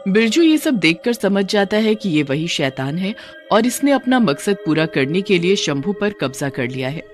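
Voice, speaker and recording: A man's voice: high voice, big pitch swings, moderate pace, faint music behind. A man narrating a scripted cartoon story.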